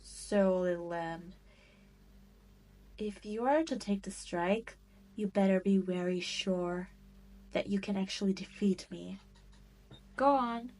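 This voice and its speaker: A woman's voice: mid-range voice, takes it slowly, long pauses, a little mellow, natural voice. A woman playing a cruel, seductive demon queen in an audio roleplay, taunting and condescending as she toys with her prey. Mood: menacing, commanding. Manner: taunting, haughty, condescending, teasing. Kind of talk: scripted roleplay audio drama monologue.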